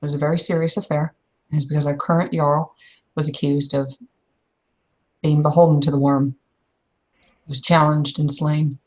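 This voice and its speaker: A woman's voice: low voice, little pitch movement, normal speed, long pauses, darkish tone, natural voice, rough recording. A woman playing a blunt, impatient werewolf in a tabletop roleplay, arguing and storytelling. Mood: grave. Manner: argumentative, storytelling, exasperated.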